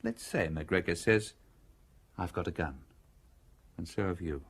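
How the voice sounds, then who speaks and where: Husky, wry, persuasive man. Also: deep voice, unhurried, exaggerated voice. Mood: deliberate, theatrical. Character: cool, wry, persuasive man explaining away a killing. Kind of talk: radio drama acting.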